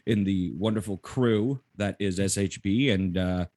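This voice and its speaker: A man's voice: sits low, unhurried, a little mellow, no echo, clean studio sound. A man hosting a podcast, joking and rambling in a casual chat. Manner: joking, rambling, laughs often. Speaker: podcast host.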